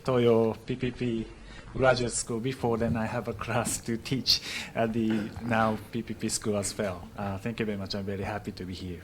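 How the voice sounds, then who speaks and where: A man's voice: fairly low pitch, flat delivery, normal speed, few pauses, a little hiss or hum. A man from Japan with a Japanese accent giving an informal self-introduction at a conference. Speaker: conference participant, teacher.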